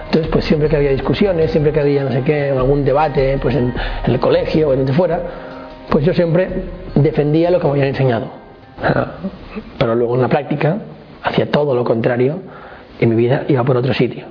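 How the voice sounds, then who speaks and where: A man's voice: medium pitch, steady tone, brisk, soft background music. Young man, rambling testimony, dull audio. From Barcelona, Spain. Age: 20s. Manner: rambling, many restarts and fillers. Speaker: interview guest. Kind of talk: casual personal testimony.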